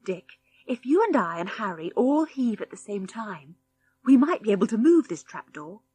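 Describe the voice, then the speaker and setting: A woman's voice: medium pitch, sing-song, normal speed, dark tone, slightly rough. Woman playing a British schoolboy, earnest gang leader, grave, anxious, well-spoken, storybook adventure. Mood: thoughtful.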